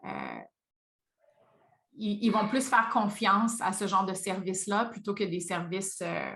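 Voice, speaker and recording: A woman hosting a conversation, her pitch in the middle range for a woman. She responds with encouragement and reflects aloud, sometimes pausing to search for the right word. She is heard over a video call. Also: steady tone, fairly bright tone. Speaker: speech-language pathologist, host. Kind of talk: conversational interview.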